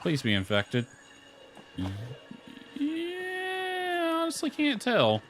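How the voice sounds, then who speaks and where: A man's voice: very high voice, huge pitch swings, takes it slowly, a bit bright, faint music behind. A man thinking aloud in casual live game commentary, muttering to himself with mild exasperation. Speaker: game streamer. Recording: clearly noisy background.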